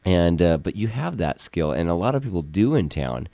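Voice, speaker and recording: A man's voice: low voice, sing-song, moderate pace, dark tone, dry recording. A man hosting an interview with his employee, rambling earnestly and repeating words as he talks. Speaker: host, firm owner interviewing an employee. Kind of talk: casual interview. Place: Yuma, Arizona.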